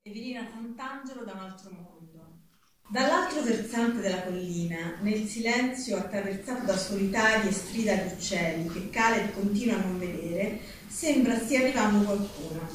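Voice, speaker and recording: A woman's voice: mid-range voice, normal speed. Woman reading from afar, very echoey room. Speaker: reader at a literary event. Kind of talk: reading literary prose aloud. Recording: echoey noisy hall, distant mic.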